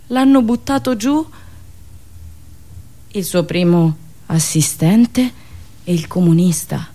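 A woman's voice: lowish voice, animated, dark tone. Theatrical woman telling satirical stories. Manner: dramatic, ironic delivery with pauses and drawn-out words. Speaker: radio host and monologist. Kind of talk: theatrical satirical storytelling monologue.

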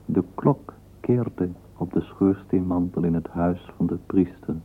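A tinny old radio recording carries a male storyteller with a low, husky voice. He narrates a literary drama slowly and deliberately, with measured, wry phrasing. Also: heavily acted.

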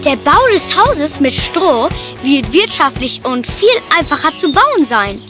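Woman voicing a high-pitched cocky little boy pig. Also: sing-song, unhurried, over music. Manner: boastful, breezy. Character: cocky, carefree young male pig, eldest of three brothers. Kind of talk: children's cartoon fairy-tale dialogue.

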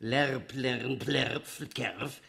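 A man's voice: medium pitch, very slow, creaky, fairly bright tone, heavily acted. An actor playing an insistent man who recites clipped, isolated words in an absurdist radio play. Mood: deadpan, clipped. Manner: staccato, isolated one-word utterances.

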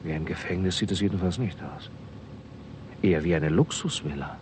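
Actor as deep-voiced, dryly sceptical male visitor. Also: fairly quick, a little mellow, close to an everyday voice. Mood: grim, dry. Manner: dry, wry, understated. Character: dry, sceptical male visitor from abroad, wryly unflappable. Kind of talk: 1960s German radio drama acting. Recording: noisy vintage radio drama recording.

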